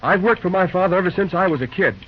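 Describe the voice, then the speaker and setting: A cocky young American railroad man, breezy and determined, in an old radio drama. His voice is a bit high and slightly husky, on a muffled vintage recording. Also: lively intonation, fast talker. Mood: defiant. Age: young adult. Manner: breezy, wisecracking. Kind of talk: 1940s radio acting.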